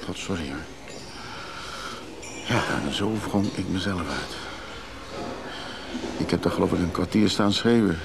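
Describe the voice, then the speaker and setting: An actor playing a restless, evasive recovering drinker in a radio play, hesitant and confiding. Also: male voice, deep voice, unhurried, creaky, pauses often, heavy background noise. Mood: uneasy, confessional. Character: restless recovering drinker, evasive man who craves freedom. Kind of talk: naturalistic Dutch radio drama acting.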